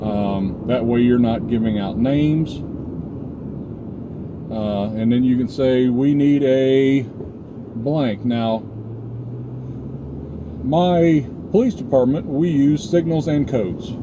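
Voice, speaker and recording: A man with a mid-range voice speaks informally, like a vlog host teaching a practical lesson. He explains things deliberately, point by point, and often checks that listeners follow. A loud, constant hum of background noise sits behind his voice throughout. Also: medium pitch. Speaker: preparedness video host, former law enforcement officer. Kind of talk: informal instructional vlog talk.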